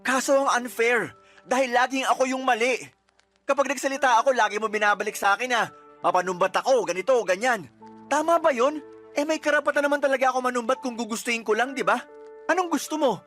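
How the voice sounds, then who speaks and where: A man's voice: extremely high pitch, fast, sharp tone, faint music behind. A man acting as a fed-up, resentful boyfriend in a Tagalog radio romance drama, emphatic and accusing. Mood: frustrated, accusing. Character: fed-up, resentful boyfriend breaking up with his girlfriend.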